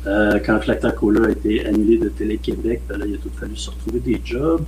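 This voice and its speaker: A man in his late forties with a Québécois French accent, a film director talking in a relaxed, rambling way as a podcast guest. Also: on the low side, quick pace, a little hiss or hum, poor audio. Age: late 40s. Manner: relaxed, rambling, frequent "euh" and "mettons". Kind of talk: casual podcast interview.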